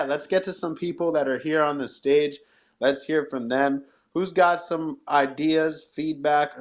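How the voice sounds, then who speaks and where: A man speaks as the host of a live audio show. His delivery is upbeat and promotional, with plenty of encouragement and praise for the community listening in. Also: medium pitch.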